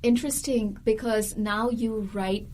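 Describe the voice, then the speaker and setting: A woman's voice: fairly high pitch, steady tone, unhurried, darkish tone, a little hiss or hum, low-quality recording. A woman who grew up in India, hosting a podcast interview in an earnest, reflective way, with many fillers. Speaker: podcast host. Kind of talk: conversational interview.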